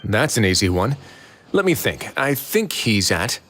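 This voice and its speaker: A cool, blunt fortress warden. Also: male voice, on the low side, a little slow, faint music behind, heavily acted. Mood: dry. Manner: calm, dry, businesslike. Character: composed prison warden duke, dry and blunt.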